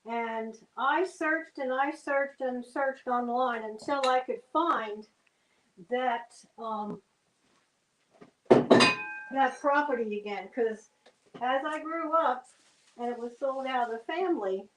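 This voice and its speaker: A woman's voice: high voice, takes it slowly, long pauses, reverberant, noisy background. Homey older woman explaining a recipe. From New Jersey. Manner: homey, explains steps plainly. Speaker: guest cook. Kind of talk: cooking demonstration chat.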